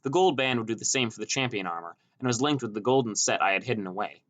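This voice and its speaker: A man's voice: mid-range voice, expressive, fast, a bit bright. A man reading a scripted first-person story as an audiobook narrator.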